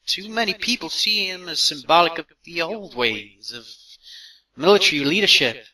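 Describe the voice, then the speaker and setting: An earnest, stubborn young male robot leader, acted by a man in an audio drama. His voice is sharp and bright, pressing his point with conviction from slightly back off the microphone. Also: a little high, lively intonation, moderate pace, far from the mic, heavily acted, low-quality recording. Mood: defensive. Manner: earnest, insistent.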